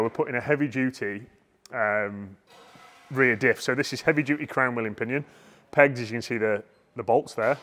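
A man's voice: mid-range voice, fairly bright tone. A middle-aged man casually explaining vehicle parts as he walks around a workshop, on a lapel mic. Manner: chatty, explains parts hands-on. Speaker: workshop owner showing projects. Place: large vehicle workshop, indoors. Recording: long reverb of a big workshop.

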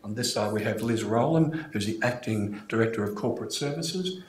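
Low-voiced older Australian man, echoey room. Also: lively intonation, a little fast. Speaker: mayor chairing council meeting. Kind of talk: formal scripted meeting address. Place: indoor council chamber. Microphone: gooseneck desk microphone. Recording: echoey room, clear background noise.

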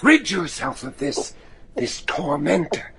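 A man's voice: a little high, big pitch swings, slow pace, gravelly, sharp tone, full character voice. An actor playing a devout, anguished older father, a judge desperate to save his son, pleading earnestly and theatrically in a gothic horror radio drama. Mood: urgent. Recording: noisy radio drama recording.

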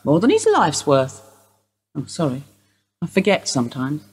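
A husky-voiced older widow, played by a woman, speaks in a radio drama. She is dry, blunt and unsentimental under police questioning. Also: low-pitched, huge pitch swings, moderate pace, full character voice. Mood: wry. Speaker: actor in a radio play.

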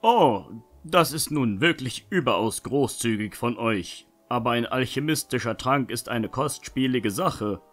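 A man acts out a modest, grateful traveller in a fantasy audio drama. He speaks politely and formally in a mid-range voice, with soft music behind. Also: mid-range voice, expressive, measured pace.

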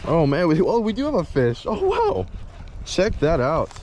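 A young adult man chatting casually to viewers in a slangy, excitable outdoor vlog style. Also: a little high, moderate pace, highs cut off. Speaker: fishing vlogger. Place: outdoors beside a pond. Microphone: chest-mounted action camera or camera close in front. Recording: outdoor ambient noise on action camera.

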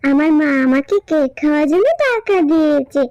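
A woman's voice: high-pitched, animated, fairly quick, dark tone, no echo, highs cut off. A woman voicing a poor little village girl in a cartoon, whiny and pleading like a child. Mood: gleeful. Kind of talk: cartoon voice acting.

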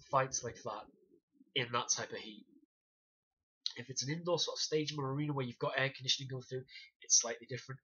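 A man, reviewing a documentary to camera in a small room, animated and demonstrating punches with wry sarcastic asides. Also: medium pitch, slightly rough. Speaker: self-defence instructor reviewing a documentary. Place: small room indoors, banner behind. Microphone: webcam at desk distance. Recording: dull lo-fi webcam audio.

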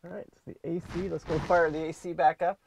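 Man, fifties, boat owner narrating his own repair, somewhat high voice, loud engine noise behind. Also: level tone, brisk, gravelly. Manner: plain practical talk with fillers, thinking aloud while working. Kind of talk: hands-on repair walkthrough. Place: cramped boat engine room. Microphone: action camera mounted in the engine room. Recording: noisy engine room. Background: running boat engine.

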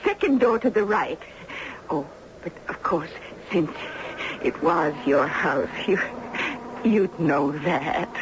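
A woman's voice: low voice, lively intonation, slow pace. An actress playing a friendly, lonely old grandmother in a 1970s radio drama, chatty and wistful, with a fluttery stammer. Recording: dull, noisy vintage radio broadcast.

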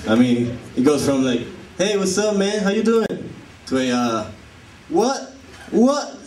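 Young Puerto Rican comic, Spanish-accented English, playful crowd work, roomy distant live sound. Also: male voice, sits high, sing-song, unhurried, a little mellow, a little hiss or hum. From Puerto Rico. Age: young adult. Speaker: stand-up comedian. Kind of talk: stand-up comedy set. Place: comedy club stage. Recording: echoey live room from a distance. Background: laughing audience.

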